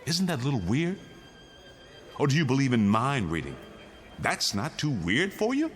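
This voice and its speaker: A man's voice: mid-range voice, wildly swooping pitch, normal speed, soft background music. An actor playing a weary, insistent condemned man pleading his case in a radio drama. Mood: agitated, arguing. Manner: insistent, pleading, arguing in rhetorical questions. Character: condemned male prisoner insisting the world is his nightmare, weary, urgent.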